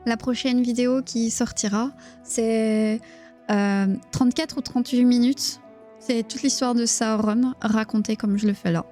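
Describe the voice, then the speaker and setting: A woman with a Belgian accent reading a book aloud on a live stream in a hushed, near-whispering voice, stopping to chat with her viewers. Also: on the high side. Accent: Belgian French. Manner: reads narration in a hushed, near-whispered voice, chats plainly with viewers.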